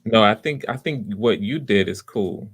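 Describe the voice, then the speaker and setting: A man hosting live auditions in casual, unscripted chat, with an encouraging manner and a halting way of repeating and backtracking. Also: lowish voice, a bit bright, husky. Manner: encouraging, supportive. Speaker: host running live voice auditions.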